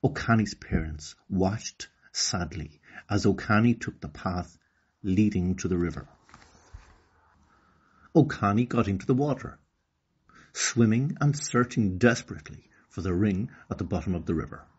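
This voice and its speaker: An Irish man reading a children's story aloud in an expressive, deliberate storybook delivery. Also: lowish voice, breathy edge. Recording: slightly dull lo-fi recording.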